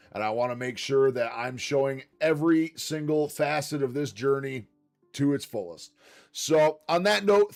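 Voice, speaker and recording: Chatty man livestreaming, slightly raspy. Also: on the high side. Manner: chatty and upbeat, quiet asides while reading. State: slightly raspy from long streaming. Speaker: livestream host. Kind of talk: casual livestream chat, reading notes aloud.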